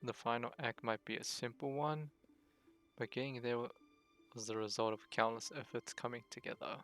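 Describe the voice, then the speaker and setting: A man's voice: medium pitch, flat delivery, normal speed, a bit bright, soft background music. A man streaming a video game, casually reading dialogue aloud and adding his own comments.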